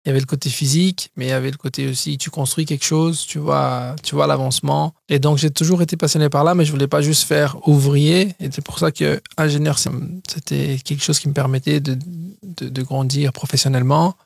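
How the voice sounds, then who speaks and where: A man's voice: a little high, steady tone, fairly quick, vocal fry, few pauses, breathy edge, no room sound, studio quality. A man in his thirties, originally from Brazil, telling his story as a guest in a casual podcast interview, with frequent hesitations and restarts. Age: 30s. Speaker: guest entrepreneur.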